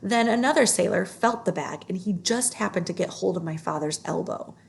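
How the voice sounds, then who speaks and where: A woman's voice: lowish voice, expressive, fairly quick, gravelly. A woman reading a children's story aloud, phrasing it carefully and expressively.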